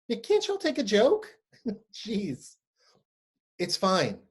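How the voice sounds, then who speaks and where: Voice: medium pitch, huge pitch swings, very slow, slightly rough, heavily acted. An actor playing a hurt circus clown who feigns good cheer with brittle sarcasm in a staged play reading. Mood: wounded sarcasm.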